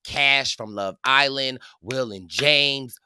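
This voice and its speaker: A man hosting a podcast in casual recap chat, with an animated, chatty and theatrical way of talking. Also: mid-range voice, takes it slowly, clean tone, no room sound, studio quality. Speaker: podcast host.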